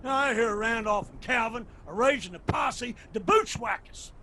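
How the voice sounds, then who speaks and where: An actor playing a vengeful, stubborn mountain patriarch in a radio drama, with an Appalachian accent and a defiant, commanding manner. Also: male voice, extremely high pitch, unhurried, bright, forceful tone, full character voice. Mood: menacing. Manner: defiant, commanding, rustic speech.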